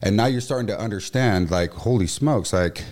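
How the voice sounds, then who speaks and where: A young man in his twenties who talks loudly and with emotion, a former football player chatting as a studio guest. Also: lowish voice, moderate pace. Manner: loud, emotional, animated delivery. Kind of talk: casual radio chat.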